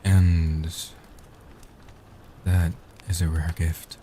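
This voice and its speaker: A young man playing a gentle, devoted centuries-old vampire guardian, soft-spoken and reassuring in a slow comfort roleplay. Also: low voice, flat delivery, a little hiss or hum. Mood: intimate, grateful. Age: young adult. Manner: tender, reassuring, slow and deliberate.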